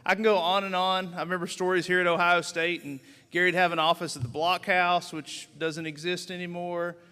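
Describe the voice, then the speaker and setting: A man with a higher, bright voice is emceeing a banquet at a podium. His tone is smooth and resonant, and he speaks informally with many fillers. The hall gives his voice a long reverb. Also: flat delivery, fairly quick. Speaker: organization official and emcee.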